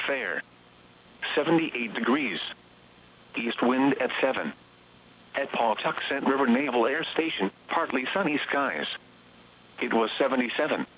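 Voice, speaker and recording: A man's synthetic voice reading scripted automated weather bulletins in a flat, measured way, as heard on a weather radio broadcast. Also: medium pitch, husky. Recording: weather radio broadcast, slightly muffled sound.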